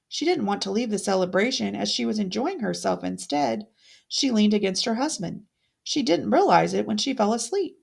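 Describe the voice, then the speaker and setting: A woman reading a fairytale aloud as a storyteller, with deliberate, dramatic expression. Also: medium pitch, gravelly, a little mellow, slightly rough.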